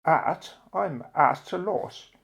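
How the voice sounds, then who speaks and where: Actor as a puzzled adventurer with creaky voice. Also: medium pitch, animated, takes it slowly, fairly bright tone, exaggerated voice. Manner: hesitant, questioning. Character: puzzled, polite adventurer sibling, inquisitive newcomer. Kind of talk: radio play acting.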